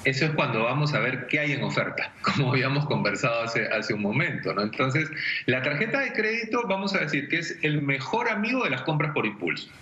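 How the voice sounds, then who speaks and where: A man's voice: medium pitch, very animated, brisk, sharp tone, slight background noise. A man in his forties with a Peruvian accent, giving didactic, conversational money advice as an expert on a video call. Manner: didactic, illustrates with everyday examples. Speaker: personal finance expert on a TV segment. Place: indoor room at home. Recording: dull, echoey video call.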